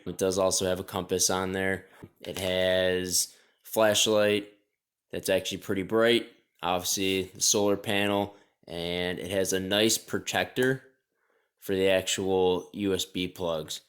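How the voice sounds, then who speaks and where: A young man hosting his own channel, walking through a homemade emergency radio kit in a plain, matter-of-fact way. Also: lowish voice. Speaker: host. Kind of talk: casual gear walkthrough.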